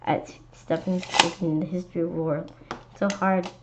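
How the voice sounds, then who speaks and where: A woman reading a children's story aloud in an expressive, animated way, occasionally stumbling over words. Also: lowish voice, normal speed. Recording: dull lo-fi sound with clear background noise.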